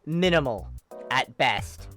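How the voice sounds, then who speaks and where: Low, bright-voiced woman narrating a story. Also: lively intonation, slow. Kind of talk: dramatic fanfiction narration. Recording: music bed under the voice.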